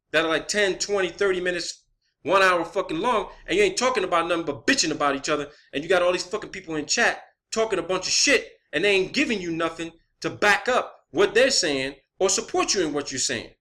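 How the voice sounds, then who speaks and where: A man's voice: high voice, bright, forceful tone. A man delivering an unscripted, profane rant in a blunt, emphatic manner, often repeating phrases for emphasis, as an online video creator.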